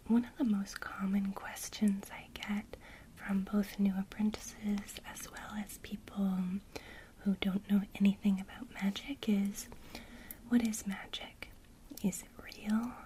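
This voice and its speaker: Slow whispering woman, soft and mellow. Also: medium pitch. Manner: slow, gentle, intimate. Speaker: witch teacher. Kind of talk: ASMR whispered roleplay lesson.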